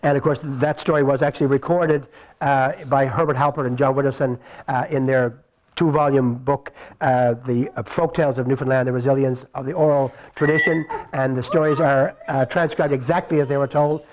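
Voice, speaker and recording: A man telling a folk tale live as storyteller and puppeteer, lively and chatty with a folksy manner. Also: mid-range voice, a little fast, rough recording. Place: live stage before an audience. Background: faint audience and applause.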